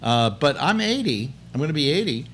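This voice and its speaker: A man in his late seventies hosting a casual chat, rambling and halting with many restarts. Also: on the high side, very animated, a bit bright, slight background noise. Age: 70s. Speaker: host.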